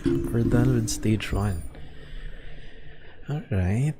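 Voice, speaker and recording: A man doing casual, low-key livestream commentary on a game, speaking hesitantly. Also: very slow, very noisy. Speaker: game streamer. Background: game music.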